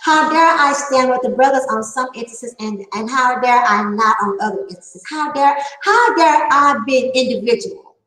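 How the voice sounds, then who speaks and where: A woman's voice: sits high, moderate pace, few pauses, bright, forceful tone, roomy sound, faint music behind, low-quality recording. A woman nearing fifty giving a candid opinion monologue, emphatic and repeating her phrases for effect. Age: late 40s. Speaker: online panel talker.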